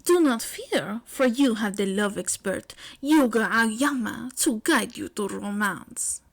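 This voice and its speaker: A woman's voice: a little high, expressive, gravelly, full character voice. A woman voicing a flamboyant teenage boy, a self-styled love expert, theatrical and grandiose. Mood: grandly instructing. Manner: theatrical, grandiose, drawn-out flourishes.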